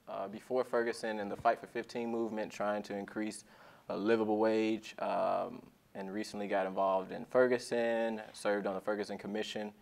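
A man's voice: fairly low pitch, vocal fry. A man in his twenties from St. Louis, giving a casual self-introduction on a panel in a large meeting room. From St. Louis, USA. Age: 20s. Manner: casual, thankful.

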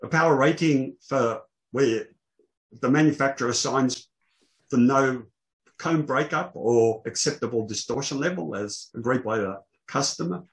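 An older man presenting technical material on a video call, explaining in a halting way. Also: mid-range voice, poor audio. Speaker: presenter, loudspeaker engineer. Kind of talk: technical presentation to an online meeting. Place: small indoor room, online video call. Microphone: video call microphone.

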